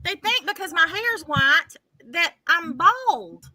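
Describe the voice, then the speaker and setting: A woman chatting casually with friends on a video call, blunt and joking, quick to swear. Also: very high-pitched, expressive, crowd noise. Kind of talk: casual group chat on a live stream.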